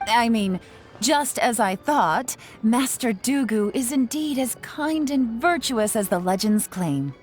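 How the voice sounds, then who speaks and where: A woman playing a poised, smooth-talking investigator with a courteous, mock-formal manner in a video game. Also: fairly high pitch, lively intonation, takes it slowly, faint music behind. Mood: flattering. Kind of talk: video game character dialogue.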